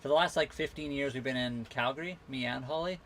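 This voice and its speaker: A man from western Canada, hosting a casual live Q&A from his sailboat, reading out viewer comments and answering them in a relaxed, rambling way. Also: mid-range voice, quick pace, a little hiss or hum. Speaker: livestream host answering viewer questions. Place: on a sailboat at a marina.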